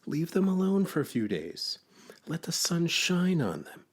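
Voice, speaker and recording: A patient, sensible frog from a children's storybook, acted by a man reading aloud. The voice is raised high and a little husky, swinging melodically as it offers kindly advice. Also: very animated, measured pace, heavily acted. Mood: reassuring. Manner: patient, advising.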